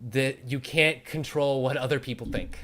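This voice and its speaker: A man's voice: medium pitch, normal speed, a bit bright. A man hosting a casual conversational interview, talking in an encouraging, reassuring and jokey manner. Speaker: interview show host.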